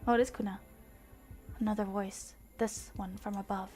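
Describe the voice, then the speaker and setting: A woman streamer reading a visual novel aloud with expressive narration and casual commentary. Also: mid-range voice, moderate pace. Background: music.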